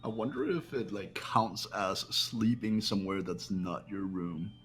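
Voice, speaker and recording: Musing low-voiced man chatting. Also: expressive, a little slow, fairly bright tone, soft background music. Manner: musing, loose with "like". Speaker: player in the group. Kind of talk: casual game chat.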